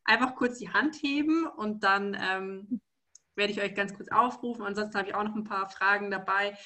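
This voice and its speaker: A woman's voice: mid-range voice, moderate pace, bright, forceful tone. A woman hosting an interactive group talk, introducing her guest with many fillers and restarts. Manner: friendly, hesitant with many fillers. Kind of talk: casual interview moderation.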